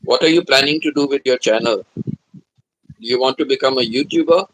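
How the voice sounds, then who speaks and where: A man in his seventies hosting a live stream, chatting gently and repeating himself, humming between topics. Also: mid-range voice, moderate pace, no room sound, low-quality recording. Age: 70s. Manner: hums to himself between chats. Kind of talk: casual live-stream chat.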